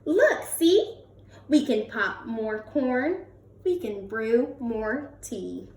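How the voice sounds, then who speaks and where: Woman voicing a tiny squeaky storybook mouse. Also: big pitch swings, very slow, slight background noise, heavily acted. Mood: soothing. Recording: roomy echo, distant from the mic.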